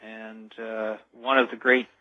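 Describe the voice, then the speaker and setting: A man explaining things as a radio interview guest over the telephone, earnest and deliberate, stressing key words. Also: lowish voice, flat delivery, no room sound. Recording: dull, band-limited telephone line.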